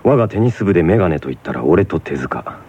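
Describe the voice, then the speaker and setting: Very husky, low-voiced data-obsessed teenager. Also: male voice, sing-song, quick pace, a little mellow, dull audio, exaggerated voice. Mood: doubtful. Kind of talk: scripted comic radio drama.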